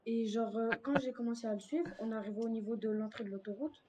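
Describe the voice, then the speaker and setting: A woman's voice: a little high, fairly even intonation, quick pace, dull audio. A woman gamer recounting an incident casually in slangy, hesitant speech. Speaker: player in a roleplay game. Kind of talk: casual storytelling in game voice chat. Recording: background noise on game voice chat.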